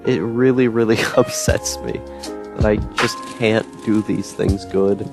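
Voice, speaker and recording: A young man talking to camera in a rambling monologue with a droning, monotone voice, full of ums, restarts and frustrated swearing. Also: lowish voice, measured pace, loud music behind the voice. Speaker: YouTuber. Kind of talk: personal monologue.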